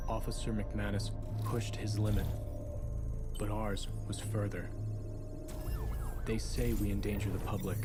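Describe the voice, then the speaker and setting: A brooding, slow-talking rebel street racer. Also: male voice, fairly low pitch. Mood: grim, defiant. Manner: measured, deliberate, solemn delivery in short phrases. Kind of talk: dramatic scripted cutscene monologue. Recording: loud music behind the voice. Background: loud music.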